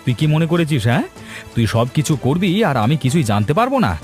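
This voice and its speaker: A man's voice: on the high side, big pitch swings, fairly quick, a little mellow. A man voicing a stern, indignant young village man in a cartoon, with a scolding, emphatic delivery. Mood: angry. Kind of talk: cartoon voice acting. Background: background music.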